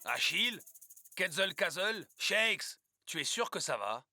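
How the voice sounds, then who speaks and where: A man's voice: sits high, sing-song, slow pace, heavily acted. A man playing a young, comically literal football teammate in a cartoon, with a puzzled, doubtful manner. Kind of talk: dubbed cartoon dialogue. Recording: music behind the voice.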